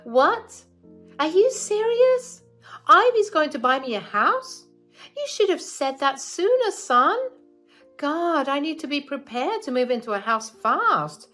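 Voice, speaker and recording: A woman's voice: medium pitch, very animated, unhurried, a bit bright, faint music behind, pitched above the performer's own voice. A woman voicing a spiteful, greedy older mother-in-law in a raised, scornful voice. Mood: shrill excited.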